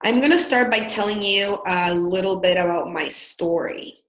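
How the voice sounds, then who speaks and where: A woman's voice: mid-range voice, moderate pace, echoey room, rough recording. A woman from El Salvador with a Salvadoran Spanish accent, a business coach giving an earnest, upbeat live webinar with frequent filler words.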